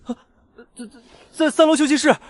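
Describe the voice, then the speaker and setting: An actor playing a flustered, outspoken young showbiz assistant with an excitable way of talking in an animated drama. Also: male voice, very high voice, a little fast, noisy background. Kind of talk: dramatic character dialogue.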